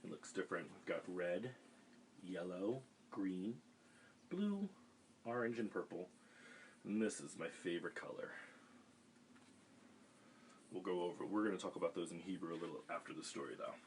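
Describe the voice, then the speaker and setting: A man reading a picture book aloud to children over a livestream, with gentle chatty asides. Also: low-pitched, expressive, normal speed, long pauses, slight background noise. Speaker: storytime reader for children. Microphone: webcam livestream.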